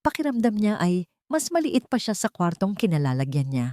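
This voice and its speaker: A woman's voice: medium pitch, sing-song, normal speed, dark tone, dry recording. A woman narrating a scripted dramatic story as a storyteller.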